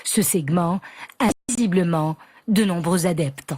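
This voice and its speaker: A woman narrating a TV news report in an even, formal way. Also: fairly low pitch, unhurried, husky, dry recording.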